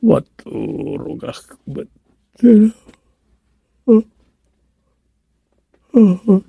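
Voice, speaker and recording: A man playing a weary village chairman in a comic radio drama, with a gravelly voice, an Ilocano accent and sighing exclamations. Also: sits high, sing-song, drawn-out words, no room sound, phone-quality sound, exaggerated voice. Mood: drowsy, grumbling. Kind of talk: radio drama comedy acting.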